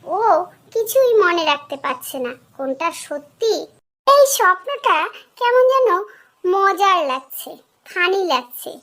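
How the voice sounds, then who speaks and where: A woman's voice: very high-pitched, very animated, measured pace, pushed and bright, exaggerated voice. A woman voicing a mischievous, forgetful female fairy in a children's cartoon, chatty and playful. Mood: amused, curious.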